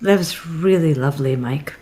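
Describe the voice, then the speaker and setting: A woman's voice: low voice, moderate pace, dark tone, close to an everyday voice. Woman acting a hesitant, tactful single mother. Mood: touched, grateful. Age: middle-aged. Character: polite, awkward single mother of a college student. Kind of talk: naturalistic comedic audio drama acting.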